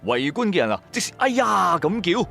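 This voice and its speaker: A man's voice: high-pitched, big pitch swings, normal speed, vocal fry, a bit bright. A man with a Cantonese accent narrates a first-person ghost story in a colloquial, dramatic, suspenseful way. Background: music.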